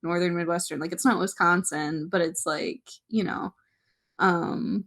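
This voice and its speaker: A young woman co-hosting a podcast in casual chat, giggly and animated, full of "like" and "um". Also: fairly low pitch, measured pace. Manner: giggly, filler-heavy, animated. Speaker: podcast co-host.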